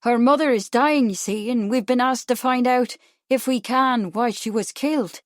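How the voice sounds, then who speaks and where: A female reader plays a young Irish woman in a storybook. She speaks high and courteously in a broad brogue, coaxing yet set on getting answers. The recording is dry. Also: moderate pace, no room sound, natural voice. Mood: earnest. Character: polite, determined young Irish woman making inquiries.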